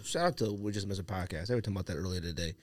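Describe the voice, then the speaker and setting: A man's voice: low-pitched, very fast. A man co-hosting a podcast, chatting casually with teasing, slangy banter. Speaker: podcast co-host. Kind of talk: casual chat among friends.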